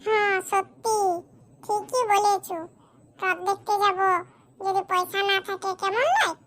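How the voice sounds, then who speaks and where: A performer voicing a squeaky, indignant young man in a comedy cartoon, bickering. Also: flat delivery, a little slow, a bit bright. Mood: scheming, bickering. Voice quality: squeaky, artificially high voice. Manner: scolding, bickering, rhetorical questions. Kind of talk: exaggerated comic cartoon dialogue in rural dialect.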